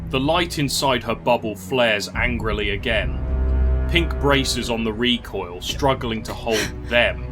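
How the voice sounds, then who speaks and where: A man reading a scripted fantasy story in a measured, literary storytelling manner. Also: medium pitch. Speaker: narrator. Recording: music clearly under the voice. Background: music.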